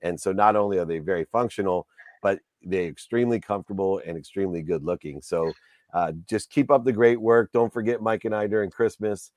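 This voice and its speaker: A man's voice: fairly low pitch, brisk, a little mellow, dry recording. A man hosting a casual interview, talking in an enthusiastic, rambling way and heaping praise on his guest. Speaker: podcast host.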